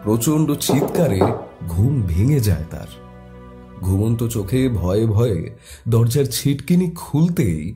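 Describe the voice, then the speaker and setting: A man narrating a scripted horror story in a dramatic, measured way with weighty pauses. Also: mid-range voice, mellow.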